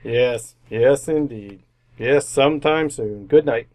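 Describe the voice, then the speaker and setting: A man playing a stuffy, pained dinner guest in an old-time radio comedy, speaking stiffly and haltingly. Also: medium pitch, a little mellow, natural voice. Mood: stiffly polite.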